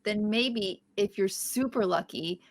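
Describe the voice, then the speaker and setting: A woman's voice: medium pitch, takes it slowly. A woman reading a quirky, nerdy young woman in an amateur table read. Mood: earnest. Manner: playful, goofy.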